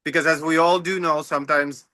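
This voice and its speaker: A man's voice: on the high side, steady tone, a little fast, bright, forceful tone, no room sound, studio quality. A man, a company founder explaining his product in an upbeat casual interview over a video call. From Latvia. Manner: upbeat, explanatory, slightly non-native phrasing. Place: home, early morning.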